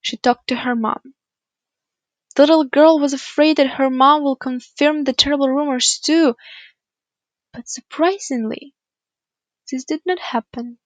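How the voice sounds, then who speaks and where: High-voiced woman telling a story. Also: measured pace, long pauses, no room sound. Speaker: monologue reader. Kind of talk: storytelling monologue, fairy-tale narration.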